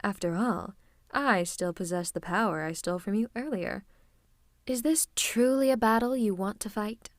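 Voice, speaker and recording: A woman's voice: mid-range voice, moderate pace, no room sound, clean studio sound, close to an everyday voice. A woman playing a playful female supervillain, affectionate and teasing with theatrical menace, in an ASMR roleplay. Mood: mock menacing. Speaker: voice actor. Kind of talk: ASMR roleplay acting, one-sided conversation.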